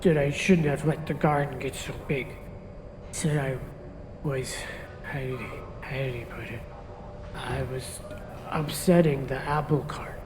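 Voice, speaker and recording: An actor playing a gentle, simple homeless man who is a suspect, speaking in a soft, halting, hesitant way in an audio drama. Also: medium pitch, big-room sound, background noise, close to an everyday voice. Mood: hurt.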